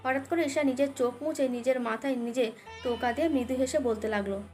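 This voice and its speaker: A woman's voice: high voice, brisk, darkish tone. A woman narrating a dramatic romance story as a scripted audio tale. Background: background music.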